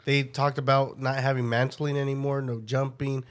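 A man's voice: medium pitch, flat delivery, moderate pace. A young man co-hosting a casual podcast, ribbing his friends in slangy, offhand talk. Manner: teasing, slangy. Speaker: podcast co-host. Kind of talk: casual banter among friends.

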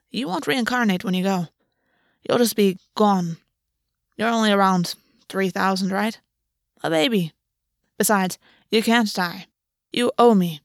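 A woman's voice: medium pitch, no echo, natural voice. Sly male underworld deity, mocking and offhand, read by a woman, dry booth sound. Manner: teasing, offhand, sardonic.

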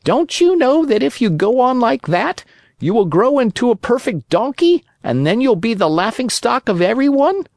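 A storyteller voicing a wise old male talking cricket who lectures in a calm, preachy way, in a storybook reading. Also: medium pitch, moderate pace, dry recording, studio quality, pitched above the performer's own voice. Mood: calm lecturing.

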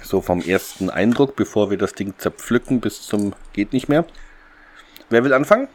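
A man's voice: lowish voice, animated, normal speed, a little mellow. A man hosting a podcast in a casual, unscripted chat, often hesitating as he presents. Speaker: podcast host.

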